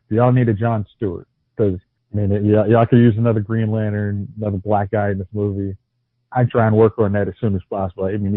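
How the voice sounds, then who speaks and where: A man's voice: lowish voice, no echo. Man on a tinny phone line, stammering. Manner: stammering restarts, wry jokes. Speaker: podcast co-host. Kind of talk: casual movie chat.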